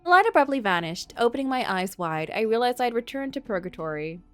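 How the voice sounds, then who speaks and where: A woman's voice: a little high, very animated, brisk, fairly bright tone, soft background music. A woman streaming a game, reading story text expressively and adding casual, joking reactions.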